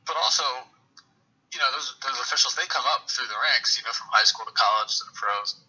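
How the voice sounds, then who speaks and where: A man in a loose, rambling sports chat over a dull phone line. His voice is very bright and sharp with a husky edge, and he talks conversationally as an interview guest. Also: medium pitch, a little fast. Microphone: phone call. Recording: muffled radio-like phone line.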